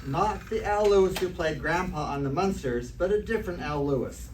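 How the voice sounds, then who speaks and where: A man announcing an old-time radio show on stage in a theatrical, measured, emphatic delivery. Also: high voice. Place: live theater performance, picked up from a distance. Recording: roomy, distant stage recording with background noise.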